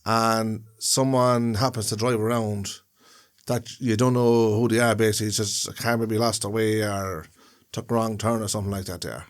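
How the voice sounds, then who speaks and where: A man's voice: lowish voice, fairly even intonation, moderate pace. A man co-hosting a casual podcast chat in a room, rambling and restarting his phrases as he explains things. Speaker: podcast co-host. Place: room, indoors.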